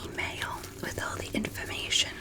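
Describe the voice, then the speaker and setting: A woman voicing a curious, polite alien doctor in a gentle, careful, clinical manner for a whispered ASMR medical roleplay. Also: moderate pace, lightly acted.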